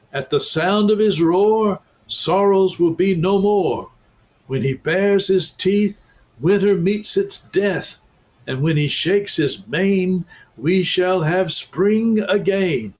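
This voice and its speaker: A man doing an excitable talking beaver. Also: low-quality recording. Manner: emphatic, booming with excitement. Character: excitable talking male beaver, proud and earnest. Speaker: pastor reading aloud.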